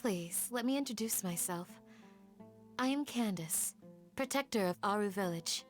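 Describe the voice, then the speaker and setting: Casting: gracious female guardian played by a woman, mid-pitched and very lilting, formal with quiet firmness, anime game. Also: lively intonation, measured pace, soft background music, lightly acted. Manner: polite, formal, composed. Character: poised, gentle but firm female village guardian.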